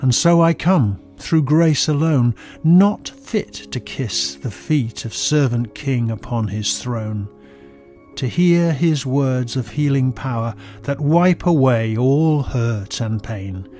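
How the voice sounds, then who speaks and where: A man reading a scripted story and poetry in a measured, dramatic, reverent way, as a narrator. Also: mid-range voice, animated, dark tone, faint music behind. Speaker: narrator and poet reading own work.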